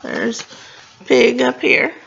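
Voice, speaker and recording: A woman's voice: on the high side, very slow, vocal fry, highs cut off, a little hiss or hum. A woman reading a picture book aloud for storytime, expressively, stumbling now and then and adding casual asides about the pictures. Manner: expressive, stumbling over words and commenting as she goes. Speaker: librarian reading a storybook to children.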